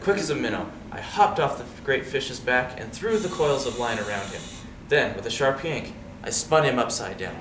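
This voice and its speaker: Animated man reading a story, roomy sound. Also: mid-range voice, moderate pace. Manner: expressive, dramatic. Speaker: storybook reader. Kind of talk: animated read-aloud story with asides to children. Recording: roomy, distant mic, noisy background.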